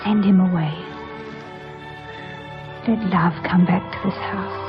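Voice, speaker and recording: Pleading lovelorn young wife, muffled radio. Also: female voice, fairly low pitch, fairly even intonation, slow. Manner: earnest, imploring delivery. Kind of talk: 1940s-50s radio drama acting. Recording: muffled old radio broadcast with music.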